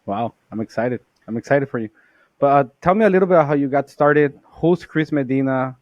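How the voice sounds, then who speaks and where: A man's voice: medium pitch, normal speed, no room sound. Man, podcast host, easygoing, enthusiastic, dry close sound, dull lo-fi audio. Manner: easygoing, enthusiastic, laughs often. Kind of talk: casual interview chat.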